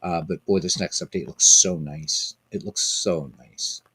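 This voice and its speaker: Husky-voiced man chatting on a stream. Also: low voice, fairly even intonation, measured pace, pushed and bright, no echo. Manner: rambling, repeats phrases, chats with viewers by name. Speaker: streamer hosting a baseball sim game. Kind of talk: casual live-stream chat.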